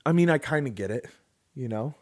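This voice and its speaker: A man's voice: mid-range voice, fairly quick. Brief: man, late 30s, casual podcast co-host, rambling storyteller, mid-range voice. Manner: rambling, animated, acts out quoted people.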